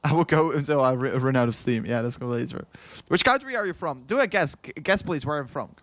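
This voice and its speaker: A man's voice: medium pitch, expressive, fast talker, fairly bright tone, dry recording. Young Dutch-accented man, livestream host, repetitive rambling, worn out, dry close sound. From Netherlands. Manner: rambling, repeats himself, chuckles often, reads chat aloud. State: tired after hours of streaming. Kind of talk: casual livestream chat.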